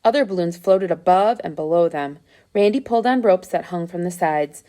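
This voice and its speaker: A woman's voice: lowish voice, normal speed, dark tone. A woman reading a children's adventure story aloud as scripted narration. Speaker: storybook reader.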